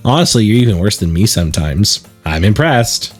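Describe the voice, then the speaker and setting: A man's voice: fairly low pitch, lively intonation, normal speed, breathy edge, soft background music. A man voicing a carefree, sweetly nagging teenage schoolgirl from a visual novel, bubbly and pleading. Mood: coaxing. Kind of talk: visual novel character read-aloud.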